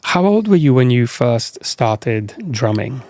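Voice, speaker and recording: A man's voice: medium pitch, big pitch swings, slow, darkish tone, no room sound, clean studio sound. A man from Greece hosting a podcast, asking questions in a conversational, encouraging way. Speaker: podcast host. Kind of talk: casual interview chat.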